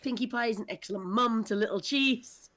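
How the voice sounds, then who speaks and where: A woman's voice: fairly high pitch, fairly quick, a bit bright. A talkative woman hosting a casual livestream panel, speaking with an emphatic manner. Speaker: host of an audio drama discussion panel.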